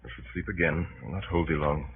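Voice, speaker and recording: An actor playing a stern, noble, grief-weary Roman general in a grave, formal 1930s radio Shakespeare style. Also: male voice, a bass voice, fast talker. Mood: tender, tired. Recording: muffled, noisy 1930s radio broadcast.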